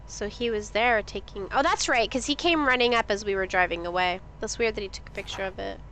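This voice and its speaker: A woman's voice: medium pitch, a little fast, pushed and bright, slight background noise. A woman streaming a game, sniffly, chatting casually with viewers and reading things aloud. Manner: chatty, reads things aloud, asks chat questions. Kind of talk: casual live-stream commentary.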